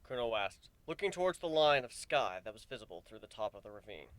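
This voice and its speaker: A man's voice: on the low side, big pitch swings, brisk, fairly bright tone, dry recording. A man reading a piece of fan fiction as a dramatic, scripted story narration.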